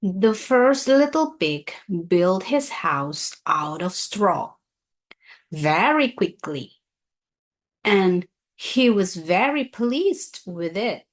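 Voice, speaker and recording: A woman reading a children's story aloud in a deliberate, expressive storytelling manner. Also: medium pitch.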